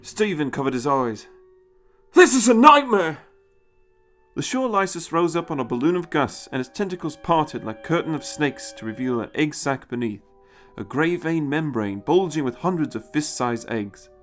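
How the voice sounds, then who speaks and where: A man's voice: fairly high pitch, animated, normal speed, soft background music. A man giving a dramatic scripted narration of a story as its narrator.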